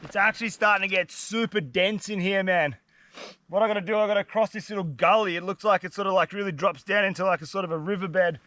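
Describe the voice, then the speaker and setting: A man's voice: high voice, steady tone, fast, bright, forceful tone, slight background noise. A young man with a congested, blocked-up nose, chatting casually to camera as he hikes through a humid forest. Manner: laid-back, chatty, slangy. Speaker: fishing vlogger. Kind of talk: casual outdoor vlog to camera. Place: dense humid forest, outdoors.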